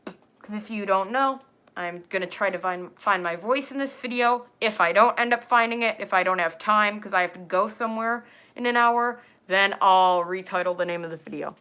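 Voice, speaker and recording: Rambling young woman, bright voice. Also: mid-range voice, moderate pace, clean tone. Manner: rambling, filler-heavy, talks to chat. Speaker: furry livestreamer. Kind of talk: casual livestream chat.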